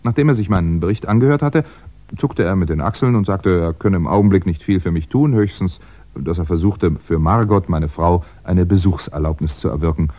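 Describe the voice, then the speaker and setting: A very deep male voice plays a composed, wry crime writer in a 1960s radio play. He recounts things in a measured, conversational way, with small hesitant asides. The recording is dull and limited in range. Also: mellow. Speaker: actor playing narrator and lead.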